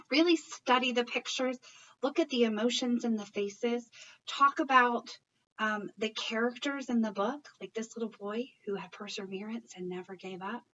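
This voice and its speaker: High-voiced woman reading to young children. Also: distant mic, dull audio. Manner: expressive, encouraging, questioning, addressing children and parents. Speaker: early childhood educator reading stories. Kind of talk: children's picture-book read-aloud with commentary.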